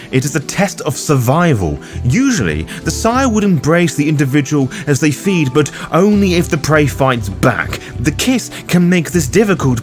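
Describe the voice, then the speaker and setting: A man with a fairly high voice narrates a scripted explainer as the host of a gaming guide. His intonation is very animated, rising and dropping often, with a theatrical, cheeky edge. Soft music plays behind him. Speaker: host of a tabletop roleplaying guide.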